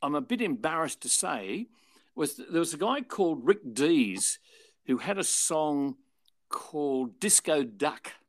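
An older Australian man, a former radio and television presenter, telling anecdotes in a relaxed interview and acting out the people he quotes. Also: fairly high pitch, measured pace, dry recording. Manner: chatty, animated retelling with voiced quotes.

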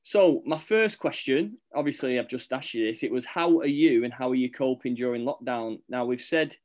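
A man's voice: mid-range voice, moderate pace, a little mellow. A man hosting a school podcast, reading a scripted welcome and then chatting in an upbeat, encouraging way. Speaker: podcast host. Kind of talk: school podcast host, scripted intro then casual chat.

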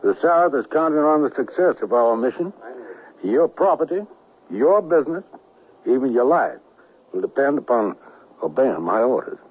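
A man's voice: medium pitch, sing-song, normal speed, lightly acted. Stern conspiracy leader, acted by a man, thin radio sound. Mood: threatening. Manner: oratorical, authoritative. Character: stern secessionist conspiracy leader, commanding and menacing. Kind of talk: radio drama acting. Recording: narrow-band vintage radio recording.

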